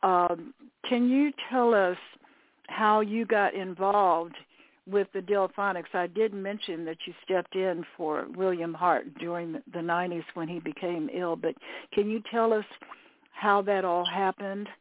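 A woman's voice: on the low side, steady tone, unhurried, no echo. Older woman interviewer on a phone-like line. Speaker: radio interviewer, host. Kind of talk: conversational radio interview. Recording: phone-like, narrow radio sound.

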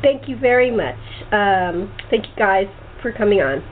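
A woman's voice: mid-range voice, animated, a little slow. A woman hosting a casual interview chat, talking in a chatty, jokey way. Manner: chatty, jokey, self-described sarcastic. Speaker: host and radio playwright. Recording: noisy, tinny phone-line audio.

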